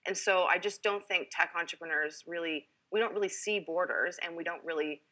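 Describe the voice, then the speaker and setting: A woman's voice: lowish voice, brisk, bright, forceful tone, low-quality recording. A woman from Canada, a company founder, speaking earnestly on a panel and restarting her phrases often. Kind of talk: panel discussion.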